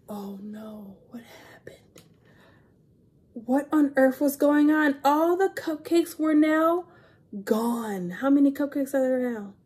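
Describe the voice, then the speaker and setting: A woman's voice: high voice, animated, slow pace, long pauses, a little mellow. A woman in her thirties reading a picture book aloud to young children, expressively, with questions and counting along. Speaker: storytime reader.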